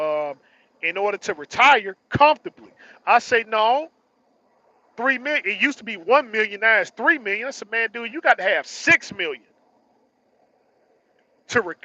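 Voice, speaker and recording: Man, early 40s, high bright voice, slangy and emphatic vlog monologue that circles back on phrases; dry, muffled lo-fi sound. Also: dry recording. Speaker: truck driver vlogger. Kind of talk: casual motivational monologue.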